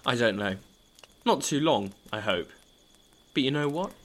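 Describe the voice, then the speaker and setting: A man playing a brave, tender half-elf adventurer in an audio drama, husky and gravelly, with an understated, teasing manner. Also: medium pitch, expressive, unhurried.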